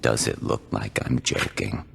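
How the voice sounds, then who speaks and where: An actor playing a deadpan, unhurried husband in a video game, with a gravelly voice. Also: male voice, rumbling low, a little hiss or hum, full character voice.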